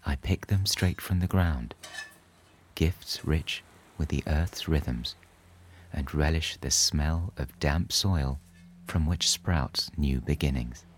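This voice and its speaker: A man narrating a scripted bedtime story in a soothing, measured manner. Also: rumbling low, slight background noise.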